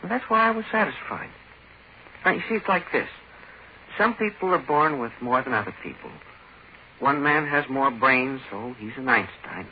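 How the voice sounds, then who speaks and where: An actor playing a menacing, power-drunk ordinary little man in 1940s radio horror, speaking haltingly and insistently. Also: medium pitch, natural voice. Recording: muffled, noisy old 1940s radio recording.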